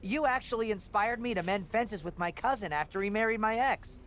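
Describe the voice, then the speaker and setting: A man's voice: high voice, brisk, fairly bright tone, slight background noise. A man playing a chatty, awkwardly joking wrestling official in a video game, wordy and rambling. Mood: chummy, joking. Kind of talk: video game cutscene dialogue.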